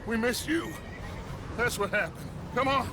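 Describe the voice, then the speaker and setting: An actor playing a charismatic middle-aged American outlaw gang leader in a game cutscene, speaking in an oratorical, rallying manner. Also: male voice, extremely high pitch, animated, measured pace, noisy background. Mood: brisk, urging. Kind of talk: dramatic game cutscene acting.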